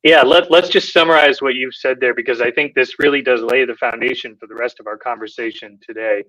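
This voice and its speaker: A man in an interview host role, recapping his guest's points in a measured voice of middling pitch. His speech is thoughtful and dotted with ums, and the audio sounds slightly dull and lo-fi. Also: steady tone, sharp tone. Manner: thoughtful, many filler ums. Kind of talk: interview moderating, summarizing.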